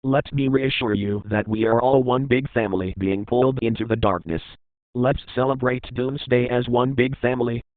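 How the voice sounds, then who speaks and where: A man's voice: medium pitch, fairly even intonation, normal speed, no echo, lightly acted. A man playing a despairing news broadcaster in a dramatized doomsday broadcast, grave and emotional. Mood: grave, resigned. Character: despairing male newsman announcing the end of the world. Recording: tinny phone-like band, dry.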